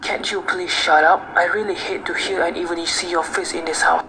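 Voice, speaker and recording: A man's voice: sits high, fairly even intonation, normal speed, gravelly. A man playing an irritable, desperate husband in an amateur radio drama. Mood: irritated. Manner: scolding, impatient. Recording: noisy home-made recording.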